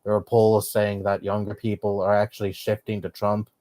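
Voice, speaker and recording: Young male streamer, low-pitched, blunt chatty rambling, dry close mic. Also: monotone, moderate pace, mellow, no room sound. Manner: blunt, swears often, rambling opinions. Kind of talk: casual live-stream chat.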